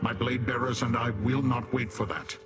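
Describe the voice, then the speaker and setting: An actor playing a grim, defiant warrior leader in a video game, with a stern, forceful manner. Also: male voice, medium pitch, steady tone, normal speed, over music, natural voice.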